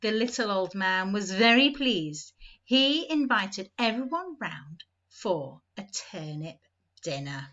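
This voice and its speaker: A woman reads a children's story with a very lively, swooping delivery. Her voice sits fairly high and she takes her time, leaning on key words for young listeners. The recording is slightly dull and lo-fi. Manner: deliberate, emphatic, repetitive build-up phrasing for young listeners. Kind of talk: animated children's storybook read-aloud.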